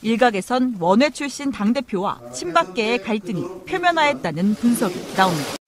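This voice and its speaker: A woman's voice: on the high side, big pitch swings, brisk. A woman reading a political news report in broadcast style. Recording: broadcast clip with crowd noise.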